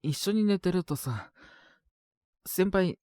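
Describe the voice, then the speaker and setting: A man's voice: high-pitched, expressive, slow, mellow, clear, resonant tone, no echo, clean studio sound. An actor playing a sick young man with a cough, grumbling politely to his senior in an intimate Japanese voice drama. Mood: reluctant, pleading. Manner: casual junior speech, mumbled reluctant replies, sighing. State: ill with a cold, frequent coughing fits. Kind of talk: Japanese boys' love situation voice drama, intimate one-sided dialogue.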